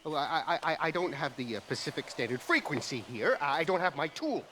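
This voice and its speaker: A man's voice: fairly high pitch, big pitch swings, brisk, sharp tone, slight background noise. A man playing a paranoid fugitive tech expert in a video game, frantic, stammering and repeating himself. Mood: flustered. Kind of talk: scripted video game cutscene acting.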